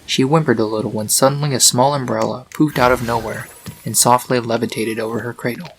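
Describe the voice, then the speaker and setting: A man's voice: mid-range voice, fairly even intonation, fairly quick, a little hiss or hum, low-quality recording. A man reading scripted storybook narration for a fan fiction.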